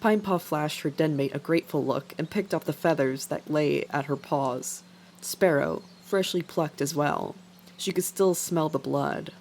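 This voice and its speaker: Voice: mid-range voice, measured pace, a little hiss or hum. A narrator reading an animal adventure story as scripted narration. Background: faint background music.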